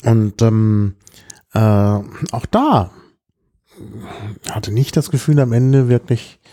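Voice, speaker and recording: A middle-aged man chatting casually about his travels, hesitant and often clearing his throat. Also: medium pitch, takes it slowly, mellow. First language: German. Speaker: podcast guest telling about his trip. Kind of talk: casual chat, travel anecdotes.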